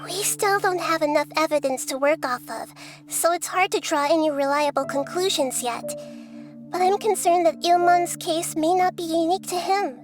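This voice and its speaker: A woman voicing a small, wise childlike goddess in a video game, measured and earnest in her delivery. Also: very high voice, faint music behind. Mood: thoughtful, grave. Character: small childlike female deity, wise, composed, gentle. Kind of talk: video game character dialogue.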